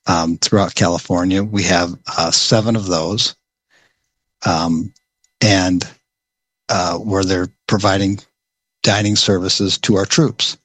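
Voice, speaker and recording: A man presenting a program at a meeting, speaking in a halting way with many pauses and restarts. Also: low voice, steady tone, fairly bright tone, no echo, low-quality recording. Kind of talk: informal briefing.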